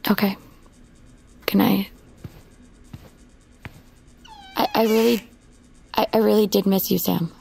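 Creaky-voiced, determined young woman. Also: medium pitch, steady tone, normal speed, dark tone. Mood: soft, tentative. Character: determined, wounded young woman, a survivor set on revenge. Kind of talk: naturalistic audio drama acting. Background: music.

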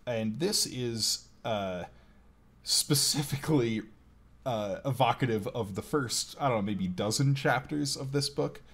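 A man's voice: medium pitch, a little slow, fairly bright tone. A man co-hosting a podcast, chatting casually in an easygoing, hesitant way. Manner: easygoing, hesitant, many ums and uhs. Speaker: podcast co-host. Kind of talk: casual chat. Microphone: video call.

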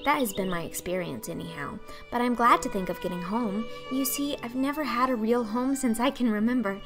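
A woman's voice: fairly high pitch, fairly quick, over music, pitched above the performer's own voice, natural voice. A woman voicing a dreamy, talkative, imaginative orphan girl in an audiobook, breathless and earnest. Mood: eager, earnest.

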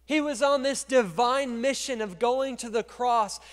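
Male pastor, 30s, higher register, energetic sermon delivery into a handheld mic, echoing church hall. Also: measured pace. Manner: energetic preaching, gesturing and moving on stage, swings from quiet to loud. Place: large dark church stage. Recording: long reverb of a large church hall.

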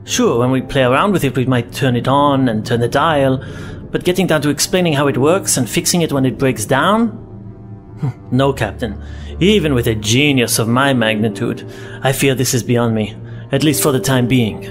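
A man's voice: mid-range voice, moderate pace, over music, lightly acted. A man playing a self-important starship scientist, wordy and pompous as he explains, in a sci-fi audio drama. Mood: pessimistic explaining.

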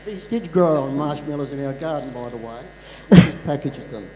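A man's voice: mid-range voice, animated, moderate pace, a crowd in the background. An older man co-presenting a children's talk in church, making dry joking asides. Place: large church hall. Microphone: handheld microphone. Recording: long hall reverb, faint noise.

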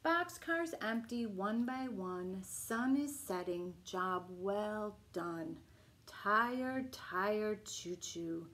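A middle-aged woman reading a picture book aloud as a children's storytime host, with a deliberate, singsong delivery. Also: mid-range voice, expressive, a bit bright. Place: small room at home, indoors. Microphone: camera a few feet away.

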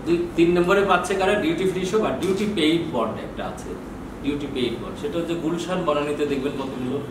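A man's voice: a little high, fast talker. A Bangladeshi man in his sixties explaining procedures in an informal lecture, often posing questions and answering them himself. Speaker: customs bond official giving training. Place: small office with bookshelves, indoors. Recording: very echoey, distant mic, noisy room.

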